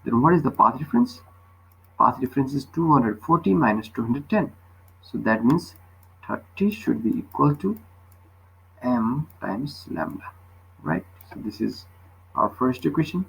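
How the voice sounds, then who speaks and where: Guy teaching physics step by step, raspy voice w lots of fry, keeps tossing in little check questions. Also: medium pitch, expressive, measured pace, long pauses, fairly bright tone, rough recording. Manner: instructional, punctuated with short check questions. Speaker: physics tutor. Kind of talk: step-by-step worked problem explanation.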